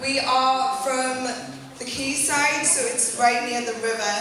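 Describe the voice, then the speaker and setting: A woman's voice: sits high, steady tone, slow pace, a bit bright. An eighteen-year-old woman giving a chatty, giggly student presentation, with many ums and restarts. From northeast England. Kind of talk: class presentation to a group. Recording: echoey hall from far off, crowd behind.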